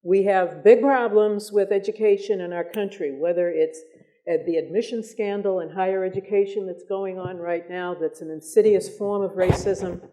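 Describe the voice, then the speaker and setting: An American woman moderates a public discussion in a soft-edged, mellow voice of medium pitch. She phrases her commentary deliberately and articulately, stressing key points. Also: steady tone, poor audio.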